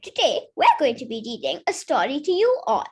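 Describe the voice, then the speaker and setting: A woman's voice: high voice, wildly swooping pitch, brisk, a little mellow, husky. A woman reading a children's picture book aloud, carefully, with the odd stumble over a word. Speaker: story reader.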